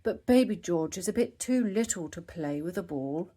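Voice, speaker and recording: A woman voicing a kindly, encouraging father pig in a storybook, with gentle, patient approval. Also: fairly low pitch, animated, moderate pace, husky.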